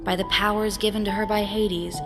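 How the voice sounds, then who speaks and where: A woman's voice: medium pitch, flat delivery, moderate pace. Reverberant woman narrating a fantasy tale. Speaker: storyteller, narrator. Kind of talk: scripted dramatic fantasy narration. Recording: reverberant hall, music behind.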